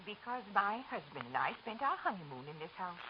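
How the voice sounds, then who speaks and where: A woman acts out a polite, flustered wife from a 1940s radio play. Her delivery swings dramatically up and down in pitch. The recording is dull, with clear noise behind her. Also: sing-song, brisk, close to an everyday voice. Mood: composed, tender. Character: flustered, genteel married woman homeowner, polite and anxious.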